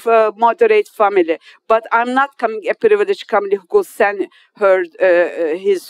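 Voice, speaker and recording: A Turkish woman in her fifties with a Turkish accent, a non-native English speaker giving a formal speech to an audience. She speaks haltingly, with many hesitations, restarts and loose grammar. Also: medium pitch, clean tone, dry recording. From Turkey. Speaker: guest speaker at an inauguration.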